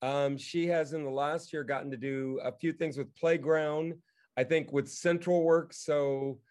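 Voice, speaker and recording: Halting, wry man in casual chat. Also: on the high side, steady tone, darkish tone. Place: remote video call.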